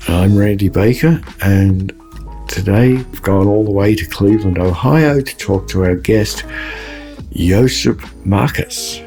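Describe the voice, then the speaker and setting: A man opens a podcast episode with his co-host in a relaxed, friendly way. His voice sits low. Faint music plays behind him. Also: measured pace. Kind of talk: podcast intro chat.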